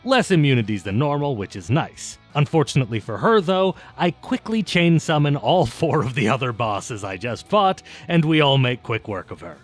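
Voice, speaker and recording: A man's voice: medium pitch, big pitch swings, moderate pace, few pauses, faint music behind. A man narrating a scripted but conversational video essay in a wry, casual, emphatic way with some swearing. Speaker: video essayist and game reviewer.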